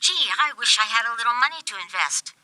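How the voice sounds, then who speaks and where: Woman as Wanda, bright, unflappable call-centre operator. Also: fairly high pitch, expressive, fast, no echo, rough recording. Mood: obliviously helpful. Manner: polite, unflappable customer-service patter. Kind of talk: radio play comedy acting.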